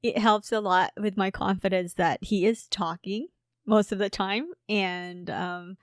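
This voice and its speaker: Filipino-accented female podcast co-host, unhurried, hesitant, looking for words, dry close sound. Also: mid-range voice, fairly even intonation, no echo. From Philippines. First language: Filipino language. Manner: hesitant, searches for words, laughs easily. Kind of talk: casual conversational podcast.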